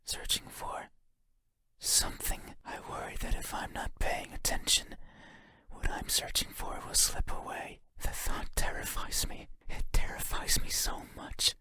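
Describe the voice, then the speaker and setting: A man plays an eerie, faceless being from a horror game, whispering every word. The delivery is breathy, slow and brooding, intimate and halting, with a lonely menace and mournful undertone. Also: lightly acted. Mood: hollow, mournful. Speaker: voice actor.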